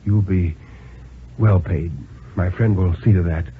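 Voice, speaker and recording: A worn-out gentleman banker, acted by a man, pleads in a low, halting voice. He sounds desperate and formal, on a muffled old radio recording with faint hiss. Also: fairly even intonation. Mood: anxious, insistent. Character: desperate, exhausted, formal-spoken male banker on the run. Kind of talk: 1950s radio dramatic reading. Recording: lo-fi 1950s radio, hissy.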